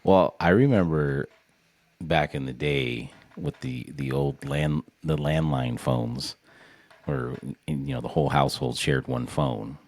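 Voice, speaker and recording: A man's voice: very deep voice, expressive, measured pace, a little mellow. A young adult man co-hosting a podcast in a laid-back, teasing casual chat. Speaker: podcast co-host.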